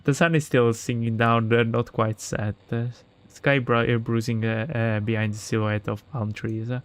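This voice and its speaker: A man's voice: mid-range voice, level tone, moderate pace. A man with an Italian accent, Italian his first language, streaming himself reading a visual novel aloud and chatting with viewers, with lots of fillers and restarts.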